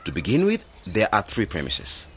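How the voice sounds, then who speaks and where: Eager idealist late 20s in a radio play, very animated delivery, bit of background noise. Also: male voice, fairly low pitch, normal speed. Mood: thoughtful. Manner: earnest, eager. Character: idealistic twenty-nine-year-old man, determined village cooperative founder. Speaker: radio drama actor. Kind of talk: radio drama dialogue. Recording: faint background ambient noise.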